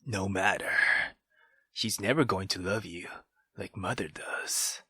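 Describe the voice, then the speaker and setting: A man's voice: deep voice, a little slow, bright, forceful tone, breathy edge, no echo, voice pushed down, heavily acted. A man voicing a sinister, possessive mother in a visual novel, coaxing her child with cold, whispered menace.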